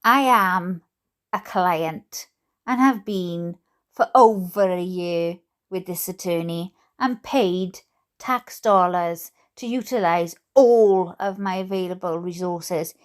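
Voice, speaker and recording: A Welsh woman reading aloud casually with chatty, jokey asides to her online audience, in an expressive, self-deprecating way. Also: medium pitch. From Wales. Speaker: YouTube host reading letters aloud.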